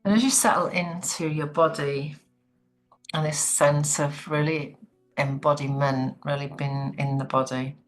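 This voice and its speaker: A woman leading a guided relaxation meditation in a soothing, gentle, unhurried manner. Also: sits low, steady tone, soft background music, rough recording.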